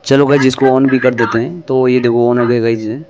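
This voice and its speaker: A man's voice: medium pitch, little pitch movement, moderate pace, dull audio, background noise. An Indian man narrating an unboxing and product demonstration, explaining informally step by step and repeating himself as he addresses viewers. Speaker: product review vlogger.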